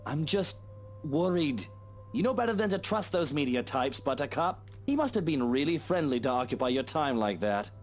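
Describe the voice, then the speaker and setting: A man's voice: fairly high pitch, animated, moderate pace, faint music behind, voice pushed up, lightly acted. A man voicing a drunk, jealous husband with a sneering, patronizing drawl. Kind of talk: voicing a visual novel character.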